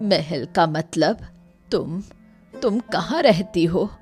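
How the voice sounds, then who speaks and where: A woman voicing a kindly old village woman, humble, gentle and motherly, in a cartoon story. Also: lowish voice, animated, slow, music in the background. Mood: surprised. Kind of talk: Hindi cartoon moral story dialogue.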